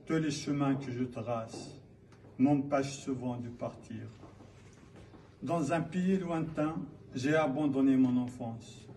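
A man from Kabylia in Algeria declaiming his own poetry in a solemn, measured manner. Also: mid-range voice, dark tone. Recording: distant mic, faint background noise.

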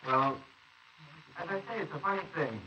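A man's voice: on the high side, expressive, normal speed, highs cut off, close to an everyday voice. Brief: chatty, rambling neighbor witness, played by a man, distant roomy hissy wire recording. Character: rambling, talkative middle-aged neighbor witness. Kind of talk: 1940s radio acting.

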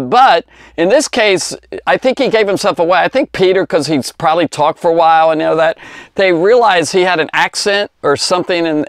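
An American man in his fifties teaches in a conversational, rambling way, circling back to correct himself. His voice sits a little high for a man. The sound is dry and close, like a lapel mic in a small study. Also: no echo. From Cincinnati, United States. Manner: rambling, conversational, with false starts and self-corrections. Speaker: Bible teacher. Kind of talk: informal Bible lesson for kids. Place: small indoor study lined with bookshelves. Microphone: lapel mic clipped at the collar.

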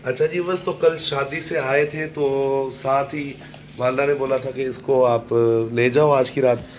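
A man in his thirties with a hoarse throat, chatting casually to viewers as a family vlog host. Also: medium pitch, steady tone, unhurried. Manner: chatty, teasing, addresses viewers directly. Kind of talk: casual vlog talk to camera. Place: at home, indoors. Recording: noisy home recording.

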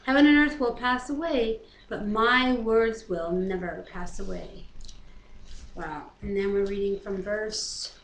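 A woman's voice: mid-range voice, fairly even intonation, measured pace. A middle-aged woman with a North American accent, reading scripture aloud and commenting casually in a living room. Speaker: co-host of a home Bible reading. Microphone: webcam at about arm's length. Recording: roomy echo, noisy home webcam audio.

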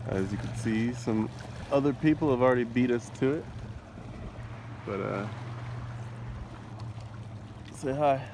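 A man's voice: medium pitch, measured pace, pauses often, a little mellow. A man giving casual, offhand, rambling travel vlog narration as he films. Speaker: vlogger. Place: outdoors on and around a lake. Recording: outdoor camera audio, clear wind and water noise.

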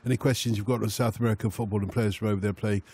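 A man's voice: lowish voice, steady tone, very fast, mellow, breathy edge, no echo. A man hosting a radio phone-in show, chatting jokily and reading out listener messages in a studio. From Nigeria. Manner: jokey, chuckling, reads out listener messages.

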